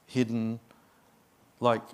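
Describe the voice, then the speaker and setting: Aussie guy, middle aged, guest preacher, chatty and gushing, normal pitched voice. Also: completely flat delivery, drawn-out words, clear, resonant tone. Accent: Australian. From Australia. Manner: effusive, chatty, personal storytelling. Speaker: guest pastor. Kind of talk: sermon.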